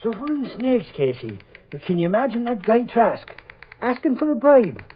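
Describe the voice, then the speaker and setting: A male actor playing an excitable, simple sidekick in vintage radio drama, full of folksy exclamations. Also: extremely high pitch, moderate pace, a little hiss or hum. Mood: amazed. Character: excitable, simple, blustering newspaper-office sidekick. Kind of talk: 1930s-40s radio drama acting. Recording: muffled old radio recording.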